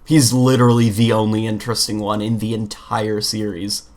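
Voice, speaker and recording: A man's voice: lowish voice, little pitch movement, normal speed, a little mellow. A man co-hosting a podcast in casual gaming chat, speaking informally and giving emphatic opinions. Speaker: podcast co-host.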